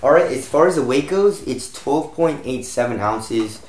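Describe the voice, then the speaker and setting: A young American man with a slightly raspy voice, getting over a cold, giving a casual review to camera as a channel host. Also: medium pitch, animated, moderate pace, darkish tone, a little hiss or hum. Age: young adult. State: recovering from a cold. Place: small home room, indoors, at a desk. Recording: roomy echo.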